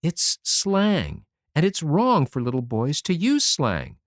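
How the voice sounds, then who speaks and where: A reader voicing a gentle, earnest young schoolteacher woman who speaks softly, in an audiobook. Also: medium pitch, huge pitch swings, takes it slowly, dry recording, studio quality, voice pushed up. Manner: soft, sorrowful, earnest. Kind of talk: audiobook character voice.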